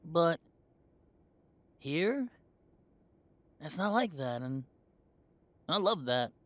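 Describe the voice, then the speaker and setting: A man's voice: fairly high pitch, sing-song, very slow, fairly bright tone, no room sound, heavily acted. A man playing a young werewolf in a conversational audio roleplay, talking in a casual, earnest way. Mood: relaxed, earnest. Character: easygoing young male werewolf, defensive with parents.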